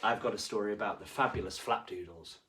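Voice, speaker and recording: A man reading to children as a storyteller, with expressive, deliberate and dramatic phrasing. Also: fairly low pitch. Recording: voice far from the mic.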